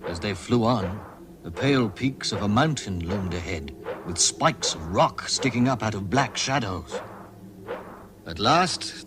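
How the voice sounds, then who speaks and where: A man narrating a fantasy radio drama in a measured, literary storytelling style. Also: medium pitch, close to an everyday voice. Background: music.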